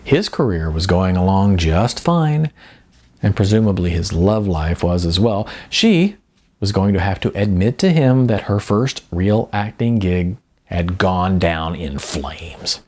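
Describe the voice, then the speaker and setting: Low-voiced, animated male storyteller. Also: measured pace. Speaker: narrator. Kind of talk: expressive storytelling narration.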